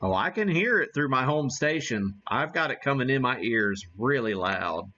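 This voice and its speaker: A man hosting a live hobby stream, giving a casual demo and thinking aloud in a halting way. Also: mid-range voice, animated, sharp tone, highs cut off. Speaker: ham radio live stream host.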